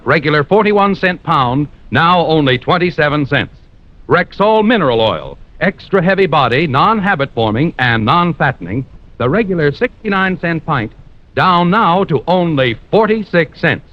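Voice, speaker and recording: Animated vintage radio pitchman, male, muffled. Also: no echo. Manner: polished, emphatic sales delivery. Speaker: radio sponsor announcer. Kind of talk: 1940s-50s radio commercial announcing. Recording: muffled old-time radio recording.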